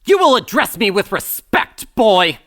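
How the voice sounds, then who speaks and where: A man's voice: high-pitched, very animated, a little slow, fairly bright tone, no room sound, studio quality, heavily acted. An actor playing a pompous, short-tempered male steward, speaking in a formal, haughty manner for a dramatized audiobook. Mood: haughty anger. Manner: haughty, indignant, formal servant speech. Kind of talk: dramatized audiobook character acting.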